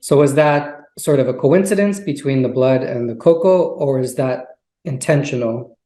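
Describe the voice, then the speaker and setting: A man's voice: medium pitch, moderate pace, warm tone. A man hosting an interview, asking curious questions and answering often with short "oh, okay" remarks. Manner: curious, lots of "oh, okay" replies. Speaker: interviewer host. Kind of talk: casual interview. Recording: echoey room.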